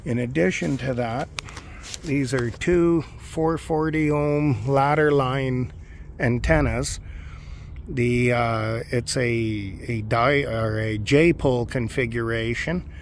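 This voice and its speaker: A man talks through his gear outdoors in an informal, explanatory way. His voice sits at an average pitch and his delivery is matter-of-fact. Clear outdoor noise runs behind him. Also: slow. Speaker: amateur radio hobbyist presenting gear. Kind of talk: informal gear walkthrough narration. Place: outdoors on a lawn.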